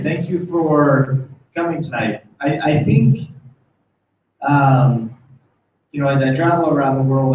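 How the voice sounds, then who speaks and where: A man's voice: mid-range voice, darkish tone, a little hiss or hum. A man with an American accent giving an informal motivational talk as a corporate guest speaker, repeating phrases for emphasis. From United States. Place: meeting room, speaking before small audience. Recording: very echoey room, distant muffled mic.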